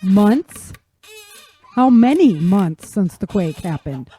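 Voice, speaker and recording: A woman's voice: medium pitch, sing-song, slow, mellow, husky, heavily acted. A woman playing an injured, bewildered survivor in an audio drama, dazed and anxious. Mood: disbelief.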